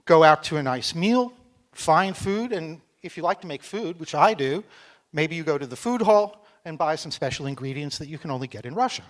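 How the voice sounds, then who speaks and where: A middle-aged American man from Texas, giving a joking, chatty conference talk on stage and speaking slowly for a foreign audience. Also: on the high side, expressive. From Texas, United States. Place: conference hall.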